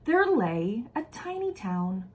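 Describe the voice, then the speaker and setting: Woman, picture book read-aloud, highly varied intonation, mid-range pitch, deliberate storytelling phrasing. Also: very animated. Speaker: children's picture book reader.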